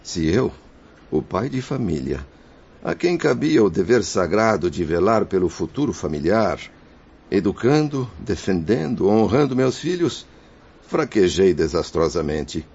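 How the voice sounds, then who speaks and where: Actor as deep-voiced remorseful father's spirit. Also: sing-song, unhurried, gravelly, a little mellow, slightly rough, full character voice. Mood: anguished, remorseful. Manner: solemn, declamatory, emotive. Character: remorseful father's spirit, grateful yet tormented by guilt. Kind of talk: radio soap acting. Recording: dull lo-fi radio drama recording.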